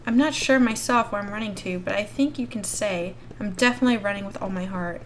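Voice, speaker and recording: A woman's voice: mid-range voice, fast talker, slight background noise. A woman reading a story aloud expressively as the host of a gaming video. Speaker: YouTube host reading a visual novel aloud. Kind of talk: expressive read-aloud narration.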